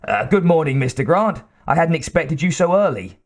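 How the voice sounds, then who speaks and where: Man voicing a nasal, twangy little scientist, stammering, timid, slightly raised pitch. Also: very animated, moderate pace. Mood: nervous.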